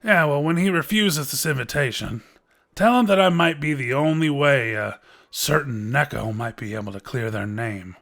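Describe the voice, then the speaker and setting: An actor playing a sly, knowing quest-giver in a fantasy audio roleplay, measured and teasing as he persuades the listener. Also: medium pitch, expressive, gravelly, a bit bright. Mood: amused, persuasive.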